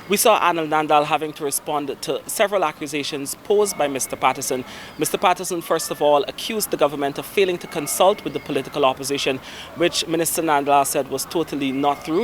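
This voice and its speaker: A man giving a steady news report on a parliamentary debate. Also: on the high side, a little hiss or hum.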